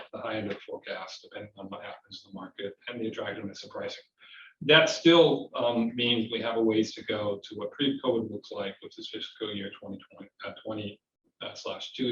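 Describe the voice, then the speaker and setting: A man with a fairly low voice presents financial results as an executive. He sounds some distance from the microphone in an echoing room. His delivery halts and doubles back on words as he works through his points. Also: fairly even intonation, fairly bright tone. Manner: hesitant, halting delivery with repeated words. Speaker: company executive presenting an earnings call. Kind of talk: semi-scripted investor presentation. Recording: roomy, distant, dull conference-call audio, faint noise.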